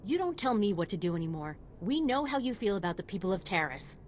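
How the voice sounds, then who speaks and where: A woman's voice: mid-range voice, sing-song, fast, a little hiss or hum, lightly acted. A woman playing a passionate, combative researcher in a video game, earnest and emphatic. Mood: defiant.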